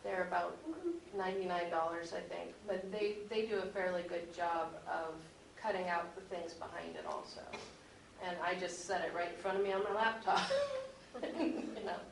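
A woman with noticeable vocal fry shares casual tips as a panelist. She is recorded at a distance in an echoey room with faint noise. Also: on the low side, steady tone, normal speed. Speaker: convention panelist. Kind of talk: casual advice from experience.

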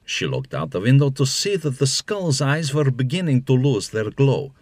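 A man acting as the measured storyteller of a fairy-tale audio drama, reading scripted narration. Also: mid-range voice, very animated, darkish tone, dry recording. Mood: narrating.

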